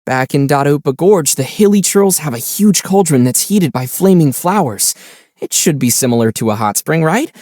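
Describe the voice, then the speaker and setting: Young traveler hero for a video game, voiced by a man, playful and wry, animated intonation, dry studio sound. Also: fairly high pitch, normal speed, dry recording, lightly acted. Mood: wry, helpful. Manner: teasing, wry. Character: young male traveler hero, calm, playful, gently teasing.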